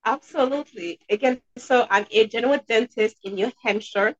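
A woman's voice: on the high side, moderate pace. A woman in her thirties with a Haitian accent, answering conversationally as a podcast guest, repeating words for emphasis. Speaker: podcast guest, dentist and debt coach. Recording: slightly dull, lo-fi sound.

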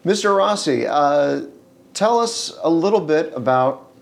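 A man's voice: on the high side, animated, unhurried, gravelly, over music. A man hosting a podcast, chatting in a friendly, conversational way and then starting an interview. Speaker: podcast host.

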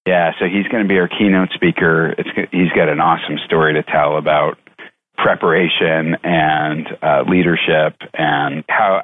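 A man with an extremely deep voice gives conversational answers as an executive guest. He moves in measured, halting phrases, circling back to repeat words. The sound is thin and phone-like, with little low or high detail. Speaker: chief executive, interview guest. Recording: tinny phone-like line.

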